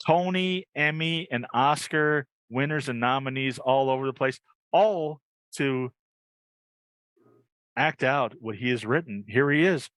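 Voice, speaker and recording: Guy podcast host, rambly casual chat, mid pitch, dry close sound. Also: a bit bright, no room sound, poor audio. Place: video call.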